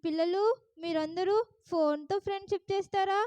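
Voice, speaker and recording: A performer voicing a gentle, kind bible puppet in a kids' puppet show, soft and coaxing. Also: very high voice, fairly even intonation, moderate pace, no echo, lo-fi sound.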